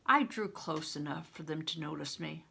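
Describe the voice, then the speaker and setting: A woman's voice: deep voice, normal speed, creaky, pushed and bright, slightly rough. An older woman, a retired reading teacher, reading a story aloud with dramatic, emphatic expression.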